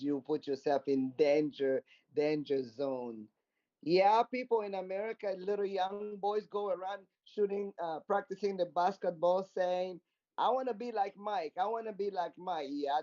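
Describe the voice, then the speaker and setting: Guy with a high soft mellow voice, earnest, keeps repeating stuff, dull muffled call audio. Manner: earnest, repeats points for emphasis. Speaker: discussion panelist. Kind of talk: casual panel discussion.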